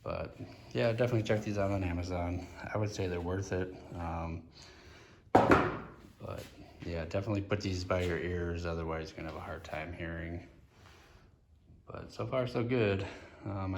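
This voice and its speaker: A middle-aged man gives a casual, unscripted product review in a plain-spoken way, often pausing mid-sentence. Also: low-pitched, steady tone, slight background noise. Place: indoors in a garage or workshop. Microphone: handheld phone or camera, speaker off screen.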